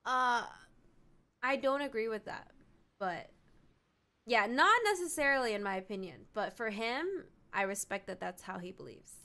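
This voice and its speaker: A woman's voice: fairly high pitch, animated, moderate pace, pushed and bright, clear, resonant tone. A woman streaming a story game, giving casual, reflective reaction commentary as she thinks aloud.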